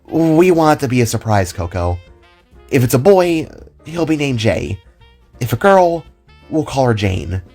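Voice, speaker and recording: A man's voice: medium pitch, big pitch swings, unhurried, darkish tone, soft background music. A man voicing a happy, caring young expectant mother chatting in a friendly way in an audio drama.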